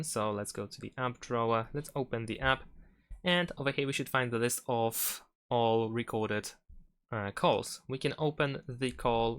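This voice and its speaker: Clear-voiced man giving a tutorial. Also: mid-range voice, fairly bright tone. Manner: instructional, unscripted step-by-step delivery. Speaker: tutorial host. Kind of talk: step-by-step spoken phone tutorial.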